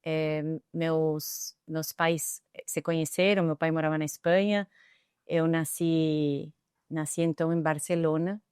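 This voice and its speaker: Reflective middle-aged Argentine woman, smooth. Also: low voice, steady tone, a little slow, a little mellow, no echo, studio quality. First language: Spanish. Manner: thoughtful, with frequent hesitant fillers. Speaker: guest, tech executive. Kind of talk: reflective interview answers.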